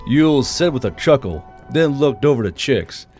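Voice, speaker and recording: A man's voice: mid-range voice, lively intonation, moderate pace, darkish tone. Male narrator, mid-range voice, scripted story read over a faint music bed. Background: background music.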